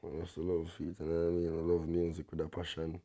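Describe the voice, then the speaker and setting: A young man with a Jamaican accent and a deep bass voice, talking in relaxed patois as a guest artist, often tagging his sentences with a check-in. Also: fast talker. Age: young adult. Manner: laid-back, peppers talk with "you see me?".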